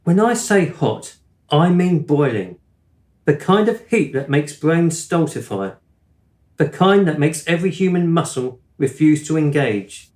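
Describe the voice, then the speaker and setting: An actor playing a gentle, absent-minded middle-aged father in a radio play, with a wistful storyteller's manner. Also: fairly high pitch, very animated, unhurried, reverberant. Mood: dramatic storytelling.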